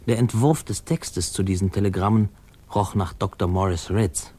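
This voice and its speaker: A man playing a reflective narrator who wryly recalls his boyhood in a 1950s radio play, with musing pauses. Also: low-pitched, a little mellow, breathy edge. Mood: wry, reminiscing. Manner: wry, musing, pausing.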